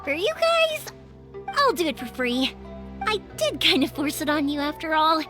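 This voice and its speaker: A woman playing a cheerful, warm-hearted young female fireworks maker in a video game, youthful and sincere in delivery. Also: high voice, wildly swooping pitch, normal speed, faint music behind, full character voice. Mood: friendly, playful. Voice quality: youthful, girlish. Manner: friendly, sincere, breezy.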